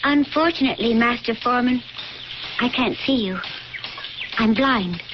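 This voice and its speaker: Woman playing an earnest, high-voiced young girl. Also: moderate pace, close to an everyday voice. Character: earnest, self-possessed blind young servant girl of Pompeii. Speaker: actor in radio drama. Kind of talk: theatrical radio drama acting. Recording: noisy, muffled old radio broadcast.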